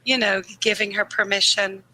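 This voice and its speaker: Bright, croaky-voiced woman therapist. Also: mid-range voice, fairly even intonation, poor audio. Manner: earnest, candid, laughs easily, slows on key phrases. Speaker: grief therapist, guest. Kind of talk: reflective conversational interview.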